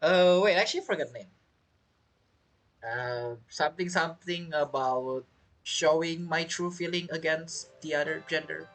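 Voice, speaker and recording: A woman giving casual commentary as she plays a game, halting and thinking aloud as she goes. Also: low-pitched, poor audio. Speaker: game streamer.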